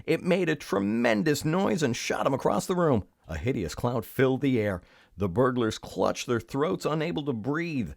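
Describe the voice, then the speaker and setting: A man's voice: mid-range voice, big pitch swings, normal speed, gravelly, no room sound. A man reading a children's story aloud, expressively and with theatrical emphasis. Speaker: storybook reader.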